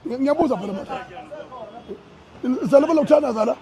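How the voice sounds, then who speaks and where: A man's voice: very high-pitched, expressive, quick pace, dark tone. A man with a South African accent in a heated public confrontation, agitated and stammering over repeated words. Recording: noisy crowd around the speaker. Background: crowd of voices.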